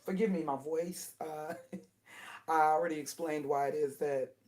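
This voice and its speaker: A middle-aged woman with a naturally low alto voice that has gone hoarse and strained. She hosts a casual livestream and talks in stops and starts, breaking off and apologizing before she gets going. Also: fairly even intonation, a little mellow. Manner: halting, with many restarts and apologies. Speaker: livestream host.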